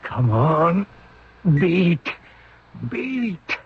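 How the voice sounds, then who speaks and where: An actor playing a determined, businesslike hospital doctor with clipped, grave, steady speech in an old-time radio drama. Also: male voice, high-pitched, drawn-out words, slight background noise, full character voice. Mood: tense relief. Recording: muffled radio drama recording.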